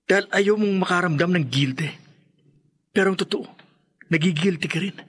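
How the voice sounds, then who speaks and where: A man's voice: high voice, moderate pace, breathy edge. An actor playing a worried young man who presses his friend in an earnest, accusing way. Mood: tense. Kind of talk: radio drama acting.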